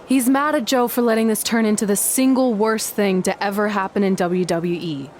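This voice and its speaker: A woman's voice: medium pitch, fairly even intonation, normal speed, a little mellow, slight background noise, lightly acted. An actress playing a blunt, unimpressed woman in a video game cutscene, dry and matter-of-fact.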